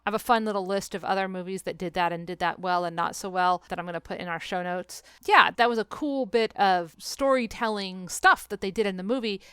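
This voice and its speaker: A woman podcast host chats casually in a clear, smooth voice, recorded close and dry. Her delivery is animated and sarcastic, with swearing thrown in freely. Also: mid-range voice, brisk, few pauses, no echo. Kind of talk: casual podcast chat about a film and book.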